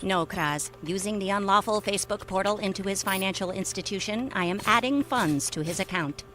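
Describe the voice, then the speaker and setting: A woman's voice: medium pitch, brisk, fairly bright tone, close to an everyday voice. Female actor as bossy alien senior officer disguised as human. Mid-range voice, stiff literal phrasing, impatient, comedic radio play. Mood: resolute. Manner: stilted, formal, clipped, literal speech. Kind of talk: comedic audio fiction acting.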